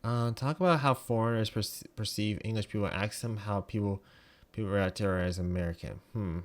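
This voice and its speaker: Rambling low-voiced man streaming. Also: a little fast. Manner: rambling, full of fillers. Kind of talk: gaming commentary.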